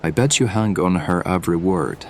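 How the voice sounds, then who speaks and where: A man's voice: deep voice, moderate pace, a little mellow, slight background noise, lightly acted. A man acting a bitter, accusing, distrustful hireling in an audio drama.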